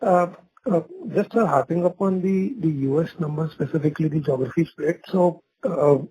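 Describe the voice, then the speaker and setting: Male analyst caller, somewhat high pitch, mellow husky tone, posing a question. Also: normal speed, rough recording. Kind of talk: question on earnings call.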